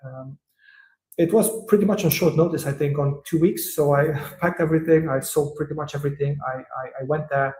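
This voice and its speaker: A man from Romania, an entrepreneur guest in an interview, who answers in a thoughtful, hesitant way with many "uh" pauses. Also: a little high, fairly even intonation, a little mellow, echoey room, poor audio.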